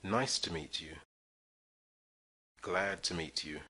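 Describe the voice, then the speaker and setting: A man teaching English, reading short phrases slowly and clearly for listeners to repeat. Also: sits low, sharp tone. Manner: clear drill-style reading of phrases for repetition. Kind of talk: slow scripted language lesson.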